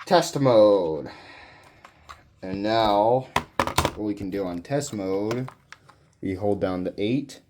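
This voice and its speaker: A man hosting a casual, unscripted hobby demonstration, mumbling haltingly to himself and swearing now and then into a handheld camera. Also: on the low side, expressive, noisy background. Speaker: hobbyist video host.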